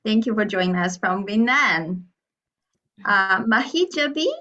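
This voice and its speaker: A woman's voice: mid-range voice, expressive, unhurried, sharp tone. A woman, the club president, welcoming guests and hosting an online meeting in a friendly way, often laughing. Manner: friendly, laughs between remarks. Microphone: video call audio. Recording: dull lo-fi call audio.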